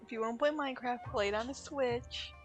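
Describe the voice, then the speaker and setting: Casual higher-pitched woman streaming a game. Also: quick pace, over music.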